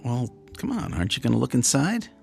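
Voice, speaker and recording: A man's voice: mid-range voice, sing-song, fairly quick, creaky, soft background music. A man acting a wry, blundering police officer husband in a radio drama, teasing and a little hesitant. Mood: teasing, affectionate.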